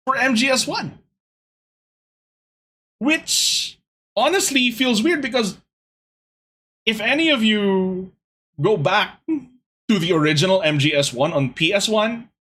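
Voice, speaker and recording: Man, young adult, husky voice, lively swooping pitch, exasperated gaming podcast host. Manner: animated, exasperated ranting with laughs. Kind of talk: casual gaming podcast chat.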